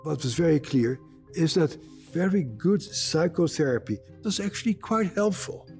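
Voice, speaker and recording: An older man, a psychiatrist with a gravelly voice, explaining things in a measured way in an interview, with wry asides. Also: fairly high pitch, lively intonation, a little mellow, faint music behind.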